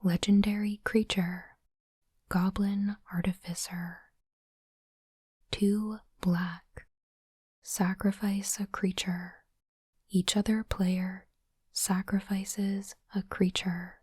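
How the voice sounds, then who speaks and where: A woman whispering gently and breathily in an ASMR style, in unhurried short phrases, close to the microphone. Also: medium pitch, little pitch movement, no room sound. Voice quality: soft, breathy unvoiced whisper. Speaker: ASMR host unboxing trading cards. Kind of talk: whispered ASMR, reading cards and commenting. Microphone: close microphone, dry.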